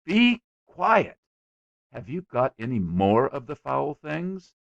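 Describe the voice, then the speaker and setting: A man's voice: on the low side, sing-song, a little slow, vocal fry, no echo, highs cut off, heavily acted. An irate storybook father, acted out by a male narrator, who bellows and barks his orders in a dry, close recording. He is middle-aged and short-tempered, with an explosive manner. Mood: exasperated, furious. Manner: roaring, explosive commands.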